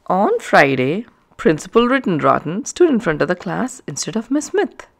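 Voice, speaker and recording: A woman narrating a children's story aloud, with expressive, emphatic phrasing for young listeners. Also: lowish voice, moderate pace, vocal fry.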